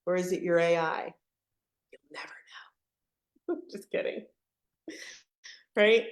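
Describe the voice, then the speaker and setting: A woman's voice: lowish voice, takes it slowly. A woman hosting an online product webinar with an upbeat, chatty sales style, often tagging her points with "right?". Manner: chatty, peppered with "right?" tags. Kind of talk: upbeat live sales presentation. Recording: echoey, roomy sound.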